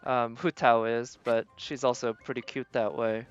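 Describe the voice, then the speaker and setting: A live streamer talks casually to viewers while playing a game. The voice sits at a middling pitch and muses aloud, often hesitating over each thought. Game music plays behind it. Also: little pitch movement, normal speed, clear, resonant tone, lo-fi sound. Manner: musing, hesitant, thinking aloud. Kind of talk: casual gaming stream commentary, chatting with viewers. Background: video game music.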